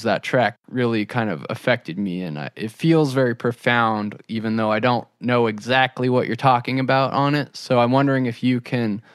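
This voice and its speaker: A man's voice: mid-range voice, no room sound, studio quality. A man conducting a casual music interview as a podcast host.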